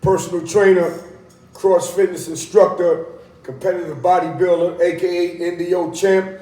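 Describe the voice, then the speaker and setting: A man hosting a casual interview podcast, hyping up guests and backing them with quick agreement. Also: high-pitched. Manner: hype, energetic intros and agreeing "yeah". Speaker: podcast host. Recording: roomy, distant mic.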